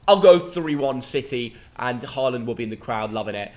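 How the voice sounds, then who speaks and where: A young man from England hosting a casual football prediction chat, lively and excitable in his banter. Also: mid-range voice, normal speed. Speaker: host.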